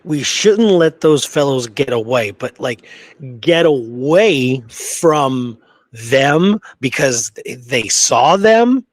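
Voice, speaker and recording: A man with a mid-range voice chats as a podcast co-host. He works through his thoughts out loud in loose, slangy language, recorded dry with hardly any room sound. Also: medium pitch, big pitch swings, slow pace, no room sound. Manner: thinks aloud, informal slangy wording. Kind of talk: casual discussion.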